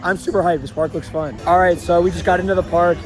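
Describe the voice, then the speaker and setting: A young man with a strained, hoarse voice hosting a casual vlog outdoors at an amusement park, chatting excitedly with lots of ums and likes. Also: high voice, fast talker, poor audio. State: losing his voice, hoarse. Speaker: vlogger host.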